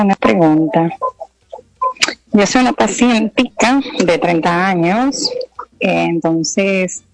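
A woman in her thirties calling in to a radio show, asking her question slowly and hesitantly over a phone line. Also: lowish voice, gravelly, no room sound. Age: 30s. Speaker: caller.

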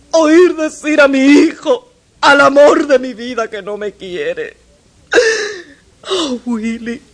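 A grieving mother begging for her child, in a husky, breathy voice. She speaks slowly and melodramatically, her words heavy with tears. A classic radio-drama role. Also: a little high. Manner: melodramatic, tearful pleading. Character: birth mother, desperate and grieving.

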